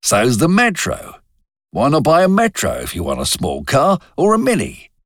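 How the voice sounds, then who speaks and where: A man doing a gruff, scornful factory boss. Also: medium pitch, wildly swooping pitch, moderate pace, no room sound, clean studio sound, pitched above the performer's own voice. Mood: lecturing. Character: blunt, contemptuous middle-aged factory boss.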